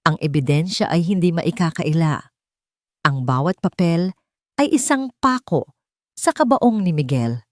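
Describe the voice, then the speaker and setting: A woman's voice: lowish voice, expressive, measured pace, pauses often, a little mellow, no echo, studio quality. A woman reading a dramatic story as scripted narration.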